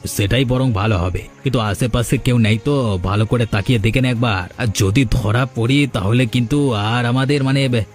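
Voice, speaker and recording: A man's voice: medium pitch, normal speed, faint music behind, lightly acted. A man voicing a jealous, scheming, idle village man in a cartoon, with grumbling, blustering colloquial speech. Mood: conspiratorial. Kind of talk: cartoon dialogue.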